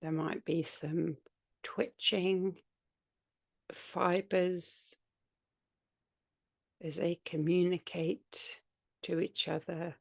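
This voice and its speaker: Slow, low-voiced woman guiding deep relaxation. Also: flat delivery, gravelly, dry recording. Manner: soothing, unhurried phrasing with long pauses. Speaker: yoga nidra guide. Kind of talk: guided meditation, spoken relaxation practice.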